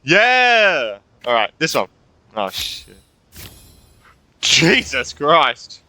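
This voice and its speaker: A young male streamer reacts off the cuff to a game. His voice is fairly high, very bright and animated, excitable and sweary, with faint music behind. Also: drawn-out words. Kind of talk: casual gameplay reactions.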